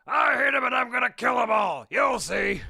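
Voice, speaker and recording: Shrieking high-pitched maniac villain. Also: male voice, expressive, normal speed, gravelly, husky, dry recording, clean studio sound, full character voice. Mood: raging, manic. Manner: shouting, frantic. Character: unhinged, ranting male villain, a mascot killer. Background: music.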